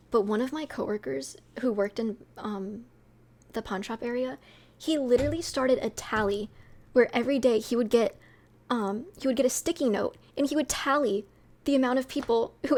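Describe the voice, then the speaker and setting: Young female streamer, sweet and a touch high, loose rambling chat with fillers. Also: normal speed. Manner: rambling, many fillers and light laughs. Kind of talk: casual chat with viewers.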